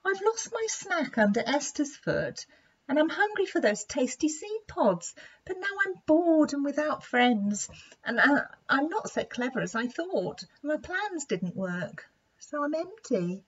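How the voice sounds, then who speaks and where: Woman voicing an excitable high-pitched little animal boy. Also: unhurried, highs cut off. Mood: sad, confessing. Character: bouncy young male animal, anxious then gleeful.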